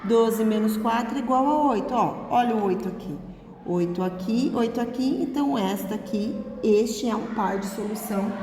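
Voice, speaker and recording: A female math teacher with a Brazilian Portuguese accent, working methodically through each calculation aloud and checking in with her students as she goes. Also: a little high. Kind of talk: recorded math lesson. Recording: echoey room, long reverb, faint noise.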